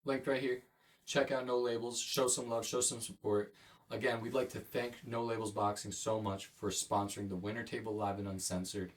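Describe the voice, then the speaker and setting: A man's voice: on the low side, flat delivery, normal speed, recorded from a distance. A male podcast host reading casual sponsor announcements and repeating the plugs.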